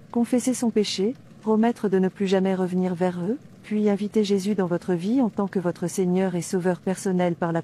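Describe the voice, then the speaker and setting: Woman acting devout female preacher, cartoon narration, mellow tone, mid pitch, solemn, didactic. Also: slight background noise, lightly acted. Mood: solemn, instructive. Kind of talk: scripted religious narration and cartoon dialogue.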